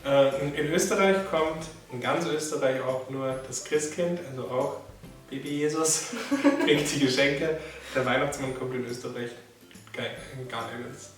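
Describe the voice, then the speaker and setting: A man's voice: mid-range voice, moderate pace, far from the mic. A young Austrian man with an Austrian accent chats in a friendly way, laughing between phrases. He sits back from the mic in a very echoey room, with faint music behind. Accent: Austrian German. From Graz, Austria. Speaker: guest. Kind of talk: casual chat.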